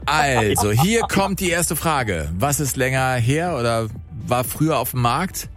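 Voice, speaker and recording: Man, radio game show host, chatty, animated swooping delivery, music softly underneath. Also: mid-range voice, measured pace. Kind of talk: live radio phone-in game show hosting. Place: radio studio.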